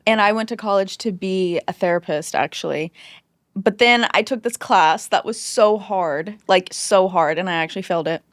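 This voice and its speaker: A woman's voice: mid-range voice, normal speed, no room sound, clean studio sound. An American woman chatting casually as a podcast guest, telling stories with lots of "like" and quoted asides. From United States, California and Texas. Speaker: former teacher. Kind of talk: casual conversational interview chat.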